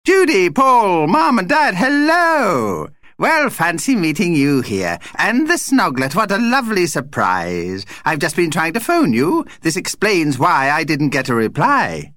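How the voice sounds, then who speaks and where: Man voicing a chirpy, high-pitched little vampire. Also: huge pitch swings, measured pace, few pauses, fairly bright tone, dry recording, clean studio sound, heavily acted. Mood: gushing surprise. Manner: effusive, dramatic exclamations. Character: tiny theatrical male vampire, chirpy and opinionated.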